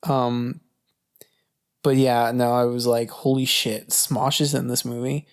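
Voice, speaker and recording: A man's voice: medium pitch, little pitch movement, slow pace, smooth. A young man co-hosting a podcast, chatting informally about movies, hesitant and peppered with swearing. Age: young adult. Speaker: podcast co-host. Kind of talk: casual movie chat.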